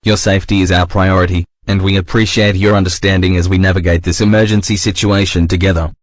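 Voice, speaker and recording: A man playing a calm official, giving a formal, reassuring scripted public safety announcement. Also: low voice, fast, no echo, natural voice.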